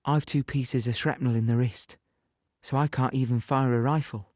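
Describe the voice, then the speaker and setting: Mellow, dry-recorded young infantryman played by a male actor, rueful and conversational, wartime audio-play monologue over music. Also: medium pitch, steady tone, no echo, close to an everyday voice. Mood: rueful, frustrated. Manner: conversational, halting, present-tense storytelling. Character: young wounded British infantryman recounting Normandy, plain-spoken, rueful. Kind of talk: first-person dramatic monologue in audio play.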